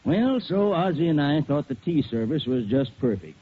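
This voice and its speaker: An actor playing a plain-spoken, assured American silverware store owner in a 1940s radio commercial. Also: male voice, a little high, big pitch swings, moderate pace, narrow, tinny audio. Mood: folksy, assured. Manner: folksy, assured. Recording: thin, faintly noisy 1940s radio recording.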